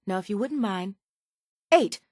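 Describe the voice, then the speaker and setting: An actress playing a sardonic, calculating raider chemist in a video game, speaking in a dry, measured, faintly condescending way. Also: female voice, mid-range voice, expressive, a little mellow, dry recording. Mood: clinical, cold. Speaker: video game character voice actor. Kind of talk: scripted video game dialogue.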